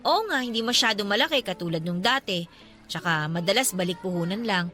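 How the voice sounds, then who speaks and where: A woman's voice: mid-range voice, expressive, brisk, pushed and bright, faint music behind. A woman acting a cheerful, devout daughter in her twenties in a Filipino radio drama, with a playful, respectful and earnest manner and a Filipino accent. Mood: earnest, upbeat. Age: 20s. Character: cheerful, devout, teasing 28-year-old daughter.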